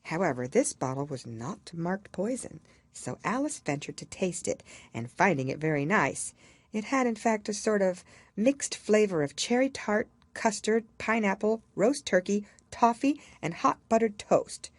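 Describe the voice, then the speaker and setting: A woman reading a storybook aloud as scripted narration, in an expressive, measured storytelling manner. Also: mid-range voice, dry recording. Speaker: audiobook reader.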